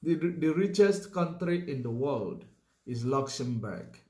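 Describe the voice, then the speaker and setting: A man's voice: fairly high pitch, expressive, slow pace, dark tone, echoey room. A man giving a political commentary monologue, emphatic, repeating his points and filling gaps with "you know".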